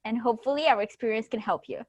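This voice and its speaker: A woman's voice: fairly high pitch, quick pace, no room sound. Young woman with a dry, close sound. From Bulgaria. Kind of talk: casual self-introduction. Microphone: video call.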